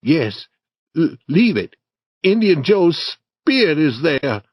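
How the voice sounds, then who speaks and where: A man's voice: fairly high pitch, big pitch swings, takes it slowly, husky, dry recording, highs cut off, voice pushed up, full character voice. A man reading a story, voicing a nervous, superstitious young boy who hesitates and stammers. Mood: frightened.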